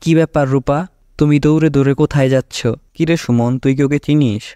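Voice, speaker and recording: Cartoon village uncle, male actor: warm dark tone, close dry sound, conversational and questioning. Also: fairly high pitch, steady tone, a little fast, clean tone, no echo, lightly acted. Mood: puzzled. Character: village man, puzzled uncle of the heroine.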